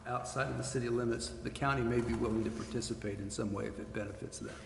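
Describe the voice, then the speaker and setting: A man's voice: medium pitch, fairly even intonation, fast talker. A man giving informal explanations as a city official at a public meeting. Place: large echoey meeting room, council chambers. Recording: echoey hall with clear background noise.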